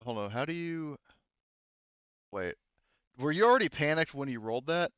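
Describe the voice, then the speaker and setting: A man's voice: on the high side, expressive, normal speed, a bit bright, clear, resonant tone, no echo, highs cut off. A man acting as game master of a casual tabletop game, explaining rules and thinking aloud as he goes.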